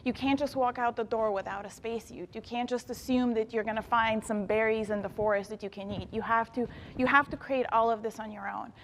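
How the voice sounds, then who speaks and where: A woman's voice: fairly high pitch, steady tone, a little fast, hardly any pauses, fairly bright tone, big-room sound, slight background noise. A woman in her thirties from Bulgaria, an engineer and planetary scientist giving an enthusiastic talk to young students. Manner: enthusiastic, addresses audience directly. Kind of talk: inspirational talk to youth. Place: event hall.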